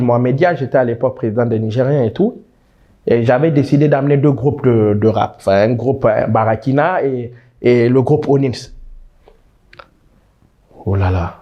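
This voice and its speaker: A man's voice: medium pitch, dark tone, highs cut off. A man in his thirties from Niger, answering interview questions with emphatic, passionate talk, often restarting words. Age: 30s. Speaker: guest.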